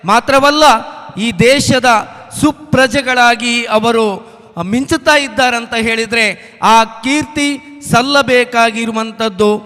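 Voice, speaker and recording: A man giving a formal public speech in an emphatic, deliberate way, repeating phrases for weight. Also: extremely high pitch, sharp tone. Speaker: guest speaker, teacher. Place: stage at a school event. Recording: reverberant hall PA.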